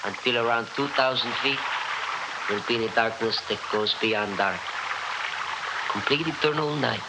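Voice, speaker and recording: A young man playing an earnest, coolly obedient deep-sea scientist in a 1960s radio drama, clipped and matter-of-fact. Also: moderate pace, natural voice. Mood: earnest, controlled. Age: young adult. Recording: noisy old radio recording.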